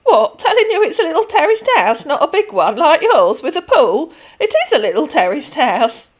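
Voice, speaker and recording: A gentle, chatty grandmother in her seventies, as a woman narrator puts her on. She speaks up with a high, lilting lift and calls everyone darling. Plain and literal, in a storybook reading. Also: big pitch swings, moderate pace, husky. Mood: puzzled. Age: 70s. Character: chatty 76-year-old retired dinner lady, gentle and guileless. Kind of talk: read-aloud storytelling.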